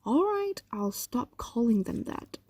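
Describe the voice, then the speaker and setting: An ancient female fox demon, acted by a woman dotes possessively on a lover in an intimate audio roleplay. The voice is mellow and breathy, sliding into whispers, with widely swinging pitch. Coaxing and hesitant, this creature sounds tender and dangerous at once. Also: big pitch swings, measured pace, no room sound. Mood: pleading, grudging.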